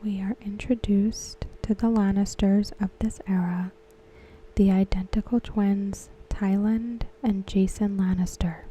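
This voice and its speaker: A woman whispering softly as an ASMR host, talking through a TV episode. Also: medium pitch, steady tone, measured pace, husky, rough recording. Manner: whispered, unvoiced and close. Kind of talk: soft-spoken ASMR commentary.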